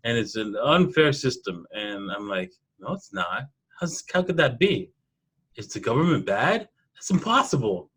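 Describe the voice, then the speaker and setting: A man, telling personal stories in a casual interview in an animated way. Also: mid-range voice, normal speed, breathy edge. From Toronto, Canada. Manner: animated storyteller, laughs often. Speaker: podcast guest, programmer.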